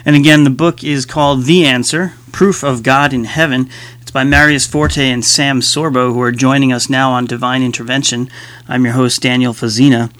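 A man's voice: medium pitch, steady tone, normal speed, fairly bright tone. A man hosting a radio interview, who often chuckles and nods along with short affirmations. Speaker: radio show host.

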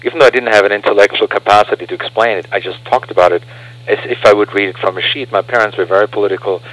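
A man's voice: fairly low pitch, rapid-fire, fairly bright tone, dry recording, low-quality recording. An earnest man, a guest on a radio interview, explaining his views through rhetorical questions. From Austria.

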